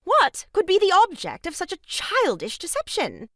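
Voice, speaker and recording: A woman's voice: extremely high pitch, huge pitch swings, moderate pace, fairly bright tone, no echo, clean studio sound, exaggerated voice. An actress playing a prim, moralising married lady, reproving and emphatic, in a period farce audio drama. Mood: shocked, probing.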